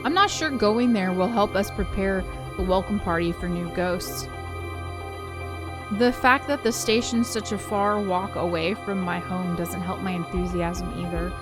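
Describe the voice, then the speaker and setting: A woman reading a visual novel aloud as a let's play narrator, in an expressive first-person storytelling manner. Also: fairly low pitch, measured pace. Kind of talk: live read-aloud of a story game, with casual asides. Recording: music behind the voice. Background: game soundtrack music.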